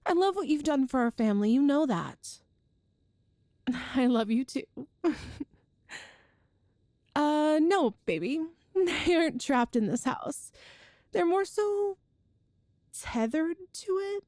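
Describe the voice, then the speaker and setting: A woman's voice: sits high, animated, slow, long pauses, no echo, clean studio sound. A woman playing an affectionate, playful girlfriend from a ghost family in an ASMR audio roleplay, chatty and tender. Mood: affectionate, explaining. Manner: chatty and tender, with soft laughs and sighs.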